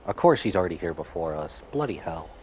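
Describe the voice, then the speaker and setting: A man's voice: low voice, big pitch swings, fast talker, background noise. A man live-streaming casual, jokey reactions to a game, exclaiming and talking back to the characters. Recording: game music behind.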